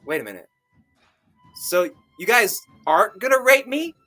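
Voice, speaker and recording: A man's voice: on the high side, animated, normal speed, pushed and bright, soft background music. A man playing a small, boyish adult adventurer, indignant and panicky, who rambles and protests crudely. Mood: panicked.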